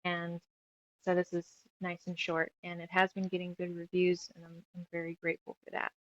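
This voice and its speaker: A woman's voice: fairly low pitch, monotone, normal speed, fairly bright tone, no room sound, muffled recording. A woman chatting casually as an interview guest, talkative and self-deprecating. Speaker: guest author and musician.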